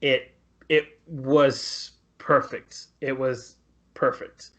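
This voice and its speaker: A man co-hosting a podcast, chatting casually about film in a halting way, often repeating his words. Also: medium pitch, level tone, fairly bright tone. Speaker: podcast co-host. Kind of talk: casual film review chat. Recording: dull, lo-fi podcast audio.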